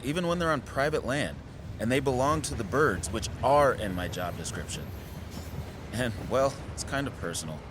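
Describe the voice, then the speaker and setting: A man playing an earnest, protective conservationist in an audio drama, plainspoken, over noisy outdoor city ambience. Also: on the low side. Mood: earnest, wistful. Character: earnest, protective male bird conservationist and forest defender.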